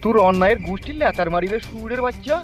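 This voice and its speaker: Loud music sits behind a man playing a menacing cartoon gang boss. He talks fast and slightly high, aggressive and full of threats and curses. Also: animated. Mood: furious. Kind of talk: comedy cartoon voice acting.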